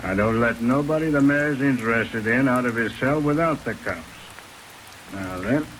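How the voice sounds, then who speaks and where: An actor playing a gruff, bossy small-town police sergeant, curtly giving orders in an old radio drama. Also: male voice, mid-range voice, normal speed, background noise, natural voice. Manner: curt, barking orders. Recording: old radio recording under rain sounds.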